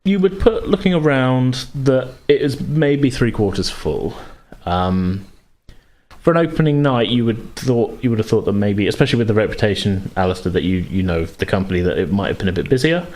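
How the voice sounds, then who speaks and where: Low-voiced man narrating, haltingly. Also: animated, darkish tone. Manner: halting, searching for words. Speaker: game master. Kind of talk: casual, improvised narration of a tabletop game.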